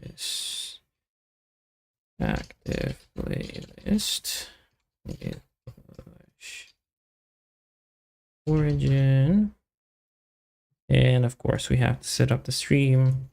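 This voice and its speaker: Male developer livestreamer, casual think-aloud delivery, very slow and fragmented, lots of deep vocal fry, mid-pitched. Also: big pitch swings, darkish tone. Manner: halting, muttering to himself in short fragments. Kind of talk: casual live coding commentary, thinking aloud.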